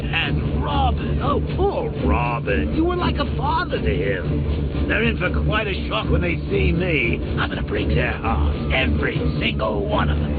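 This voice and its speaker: An actor voicing a manic, mocking male clown villain in a video game, with a gravelly, sing-song delivery. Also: fairly high pitch, full character voice. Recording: tinny phone-like sound with loud music.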